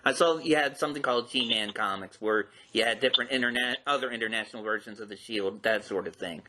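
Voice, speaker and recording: A man with a bright, forceful voice of average pitch hosts a casual interview show. He phrases things politely and somewhat tentatively, as an interviewer talking with a guest. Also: fast. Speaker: podcast host, interviewer.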